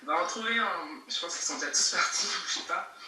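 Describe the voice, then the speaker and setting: A woman's voice: fairly low pitch, animated, brisk, sharp tone. A young woman from Geneva, answering interview questions in a casual, emphatic way over a video call. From Geneva, Switzerland. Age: young adult. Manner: emphatic, repeats herself, laughs between points. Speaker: guest rapper. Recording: echoey distant call audio with faint noise.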